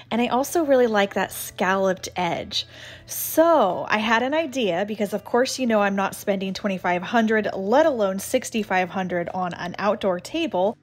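Enthusiastic woman vlogger in her thirties, casual chatty narration, soft music bed. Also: medium pitch. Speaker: home renovation vlogger.

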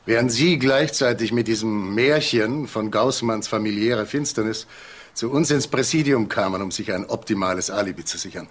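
A man's voice: fairly low pitch, normal speed, natural voice. An actor playing an eager, chatty male police investigator in a naturalistic crime audio drama. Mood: accusing. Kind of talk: naturalistic German radio drama acting. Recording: clear background noise and ambience.

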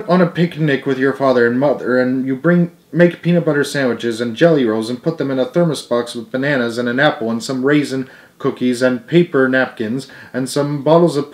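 A man's voice: medium pitch, reverberant, close to an everyday voice. A very small, earnest little girl from a storybook, voiced by a young man. She rambles on in one long run of words.

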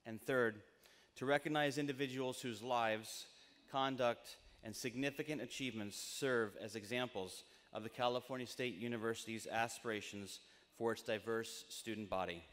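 A middle-aged American man giving a formal ceremonial speech in measured, emphatic phrases at a podium microphone. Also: mid-range voice, steady tone, fairly bright tone. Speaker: university trustee speaking at commencement. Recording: long reverberant PA in large tent.

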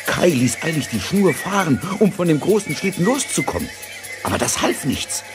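A man narrating a scripted fairy tale in a dramatic, deliberate storytelling manner. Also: fairly high pitch, sing-song, music in the background.

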